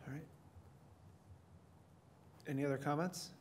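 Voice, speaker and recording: A man's voice: on the high side, fairly even intonation, a little slow, slight background noise. A man chairing a village board public hearing in a large council chamber, talking casually with some restarts.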